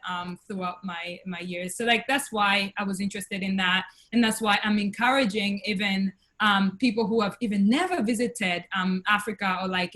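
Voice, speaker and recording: A young woman from Tanzania, speaking on a video call webinar, with many pauses, fillers and restarts. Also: mid-range voice, a bit bright. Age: young adult. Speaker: webinar guest, investor. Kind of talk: casual testimonial on a webinar.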